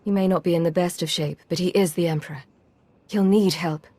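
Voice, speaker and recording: Composed woman operative actress, game cutscene role. Lowish register, measured, assured, matter-of-fact. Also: steady tone, dark tone, dry recording, close to an everyday voice. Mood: calm. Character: cool, composed female secret agent. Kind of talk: dramatic video game acting.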